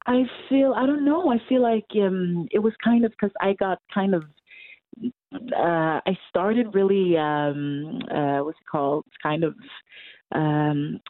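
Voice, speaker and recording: A woman in her twenties with a Norwegian accent speaks English as a second language, pausing and circling back while she hunts for words. Her voice is fairly low and comes through a thin, phone-like line with no room sound. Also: no room sound. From Norway. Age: 20s. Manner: hesitant, searching for English words. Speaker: musician guest. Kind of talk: casual interview over a call.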